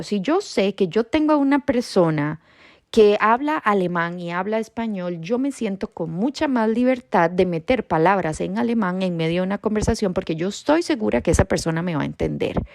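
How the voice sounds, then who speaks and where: A woman's voice: medium pitch, lively intonation, moderate pace, gravelly, a little mellow, no echo, studio quality. A woman from Costa Rica with a Costa Rican Spanish accent, hosting an educational podcast in a reassuring tone, often checking in with a rising "¿sí?". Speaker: podcast host and bilingualism educator. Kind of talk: informal educational podcast talk.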